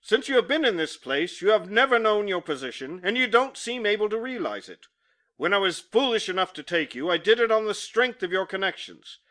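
Stern male employer, curt and scornful, a man puts him on: raised, loud, sharp delivery. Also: sits high, animated, a little fast, pitched above the performer's own voice. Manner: curt, scolding, emphatic.

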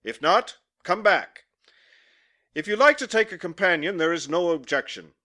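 Stern male employer, curt and scornful, a man puts him on: raised, loud, sharp delivery. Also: high voice, expressive, normal speed. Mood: brisk. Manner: curt, scolding, emphatic.

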